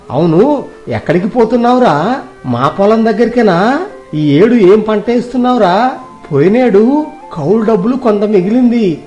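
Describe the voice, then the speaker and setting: A man's voice: high voice, a little mellow. A man playing a rich, trusting, affectionate older village uncle in a dramatized moral story, speaking in a sentimental, effusive way. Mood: friendly.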